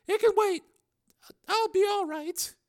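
A man's voice: very high voice, slow pace, pitched above the performer's own voice, exaggerated voice. A man voicing a distraught, pleading woman doctor in an audiobook, hurt but devoted, with emotional delivery. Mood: tender, tearful.

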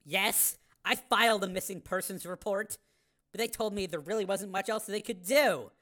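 An actress voicing a distraught estranged mother, anxious, halting and tearful in a dramatized audiobook. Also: mid-range voice, a bit bright, close to an everyday voice.

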